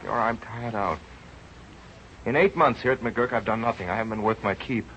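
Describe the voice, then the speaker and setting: Earnest young doctor played by an actor, lowish voice, unsure of himself, vintage radio drama, dull old sound. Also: male voice, brisk, fairly bright tone, slight background noise, close to an everyday voice. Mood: weary, discouraged. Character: earnest, self-doubting young research doctor. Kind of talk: 1930s radio drama acting and narration.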